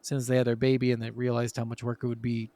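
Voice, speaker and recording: A man's voice: mid-range voice, monotone, fast, warm tone. A man co-hosting a podcast, chatting informally and telling anecdotes in a conversational way. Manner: informal, acts out quotes conversationally. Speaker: podcast co-host. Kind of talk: casual chat, anecdotal storytelling.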